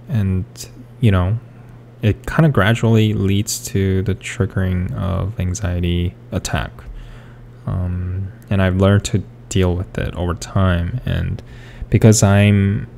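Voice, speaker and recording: A man's voice: low-pitched, creaky, mellow, a little hiss or hum. A young man from South Korea whose first language is Korean, talking hesitantly over his drawing process with many fillers and restarts. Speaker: artist. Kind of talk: rambling speed-paint commentary.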